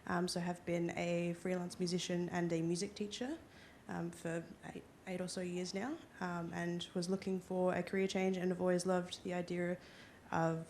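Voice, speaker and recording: Easygoing woman, lower voice. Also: level tone, moderate pace, a little mellow, slight background noise. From Adelaide, Australia. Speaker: student.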